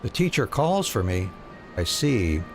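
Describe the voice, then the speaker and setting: A man's voice: on the low side, big pitch swings, measured pace, over music. A man voicing a bookish, absent-minded young rebel recruit in a fantasy game, wordy and apologetic. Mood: flustered, polite. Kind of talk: reading fantasy game dialogue aloud.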